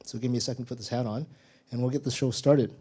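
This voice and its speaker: A man's voice: mid-range voice, fairly even intonation, fast, noisy background. A man hosting a live storytelling show, introducing it in a casual, conversational manner. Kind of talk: informal live event introduction.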